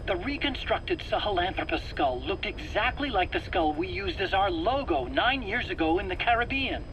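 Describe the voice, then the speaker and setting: An actor playing a nervous, eager scientist under interrogation in a video game, self-justifying in an earnest, explanatory, pleading way. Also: male voice, high-pitched, big pitch swings, normal speed, fairly bright tone, low-quality recording. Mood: defensive, pleading. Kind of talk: dramatic video game voice acting. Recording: faint background noise, cassette tape interrogation.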